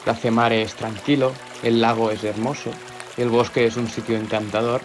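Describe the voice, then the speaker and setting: A man's voice: mid-range voice, level tone, moderate pace, natural voice. Man acting a playful young villager over music. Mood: serene. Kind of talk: audio fiction character acting. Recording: music clearly behind the voice.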